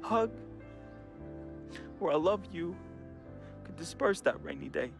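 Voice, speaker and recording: A man's voice: fairly high pitch, lively intonation, slow, vocal fry, soft background music, full character voice. An actor playing a troubled young man in a game, reading a confessional farewell letter as a heartfelt voice-over with casual slang. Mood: somber. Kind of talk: emotional voice-over monologue. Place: studio voice-over.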